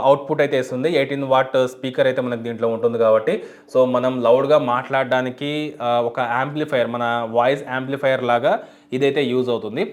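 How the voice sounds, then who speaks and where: A man explaining a product step by step as a tech reviewer, in an unboxing video style. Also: medium pitch. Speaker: YouTube tech reviewer and host.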